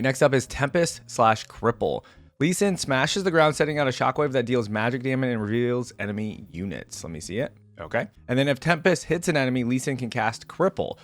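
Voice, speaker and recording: A man giving casual gaming reaction commentary, reading aloud and commenting offhandedly as host. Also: medium pitch.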